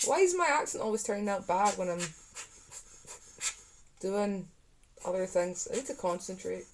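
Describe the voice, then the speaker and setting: A woman's voice: medium pitch, lively intonation, moderate pace, slight background noise. A woman chatting casually to her live-stream viewers while repairing a console, talking to herself as she works.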